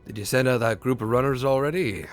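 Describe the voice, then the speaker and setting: A man's voice: mid-range voice, fast talker, close to an everyday voice. A man playing a cheerful, teasing soldier who croons his lines in an audio story. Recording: music clearly under the voice.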